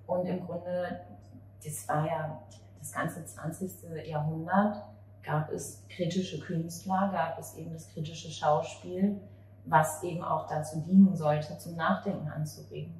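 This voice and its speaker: A woman's voice: sits low, steady tone, measured pace, darkish tone. A woman in her forties interviews in a conversational way, speaking into a handheld mic. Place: outdoor café table on a street at night. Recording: very roomy with faint ambient noise.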